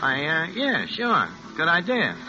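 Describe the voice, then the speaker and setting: An actor in a 1940s-style radio drama playing a brash, streetwise New swindler, slangy and full of stammering bluster. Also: male voice, fairly high pitch, wildly swooping pitch, unhurried. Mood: flustered. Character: brash, streetwise American swindler narrating his own scheme. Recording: dull vintage radio recording with music.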